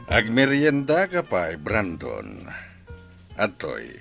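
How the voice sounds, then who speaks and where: A man playing a deep-voiced evasive father. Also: huge pitch swings, slow, creaky, fairly bright tone, faint music behind, full character voice. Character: well-off older father, evasive and blustering. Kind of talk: radio drama acting.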